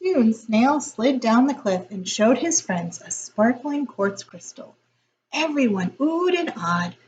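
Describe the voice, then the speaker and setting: A woman reading a picture book aloud as a teacher would, in a deliberate, expressive storytelling style. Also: a little high, slight background noise, low-quality recording.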